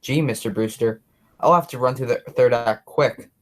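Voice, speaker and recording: Young guy doing a chatty clueless cop, eager amateur playwright, radio play, mid pitch. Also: moderate pace, natural voice. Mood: eager, self-absorbed.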